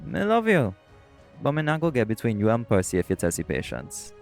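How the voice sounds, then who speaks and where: A man's voice: mid-range voice, huge pitch swings, a little fast, vocal fry, faint music behind. A man playing a teasing, easygoing shapeshifter boyfriend with a Caribbean accent in an audio roleplay. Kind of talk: scripted audio roleplay acting.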